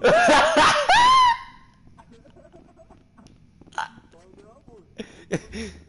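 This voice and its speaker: Laughing young man, high voice. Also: wildly swooping pitch, very slow, very noisy. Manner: laughs often, shouts and teases friends. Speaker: streamer. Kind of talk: casual gaming chat with friends.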